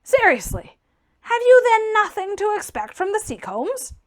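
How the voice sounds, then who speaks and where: A woman voicing a blunt, fiery, mocking gentleman reformer in a forceful, declamatory audiobook reading. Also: very high-pitched, very animated, measured pace, pitched above the performer's own voice, exaggerated voice. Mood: pressing, curious.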